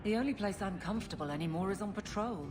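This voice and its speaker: A woman's voice: medium pitch, fast talker, natural voice. An actress playing an awkward, self-doubting guardswoman in a video game, blunt and self-deprecating. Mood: flustered. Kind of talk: dramatic video game dialogue. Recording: music behind the voice.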